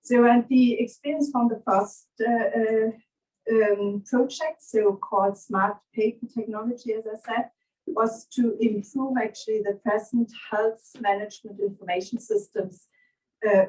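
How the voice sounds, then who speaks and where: Middle-aged German-accented woman, conference presenter, halting delivery, recorded at a distance. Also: fairly high pitch, a little mellow. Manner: hesitant, many fillers and restarts. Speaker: conference speaker. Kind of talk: academic presentation.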